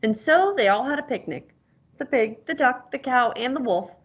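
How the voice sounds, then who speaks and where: A woman's voice: high voice, animated, normal speed, breathy edge, dull audio. A woman reading a storybook aloud like a teacher, encouraging and prompting the listener with questions.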